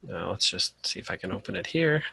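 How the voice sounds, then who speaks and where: Bright-voiced man teaching an online class. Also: on the low side, fairly quick, creaky, slightly rough, no echo. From Colorado. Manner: relaxed, frequent "uh" fillers. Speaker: course instructor. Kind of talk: casual online class lecture. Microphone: video call.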